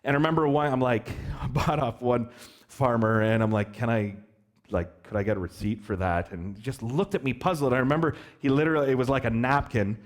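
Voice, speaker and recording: A man preaching a sermon as pastor, telling a story in an animated, joking way and asking the children questions. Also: mid-range voice, brisk. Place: church hall.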